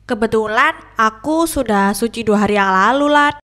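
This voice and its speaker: A woman playing a friendly, agreeable young college student with speech in an animated story. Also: fairly high pitch, normal speed, lightly acted. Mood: cheerful. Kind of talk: animated horror story dialogue.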